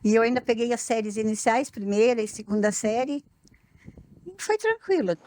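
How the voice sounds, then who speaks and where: A woman who teaches, recalling her first classes in a relaxed, conversational way. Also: a little high, normal speed. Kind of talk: interview reminiscence.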